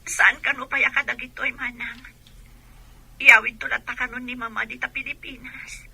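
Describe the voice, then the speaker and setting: A woman's voice: a little high, little pitch movement, normal speed, creaky, bright, forceful tone, husky, heavily acted, rough recording. A woman playing an earnest, devoted grown daughter with a Filipino accent in an audio drama. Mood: sad, composed. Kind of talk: Ilocano audio drama acting.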